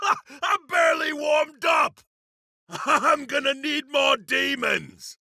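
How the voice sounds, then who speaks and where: Gravelly, cocky berserker warrior. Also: male voice, very high-pitched, dry recording, studio quality, exaggerated voice. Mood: gleeful bloodlust. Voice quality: gravelly, rough. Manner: forceful shouted battle barks. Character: battle-hungry iron berserker warrior man, gruff and cocky. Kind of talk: video game voice lines.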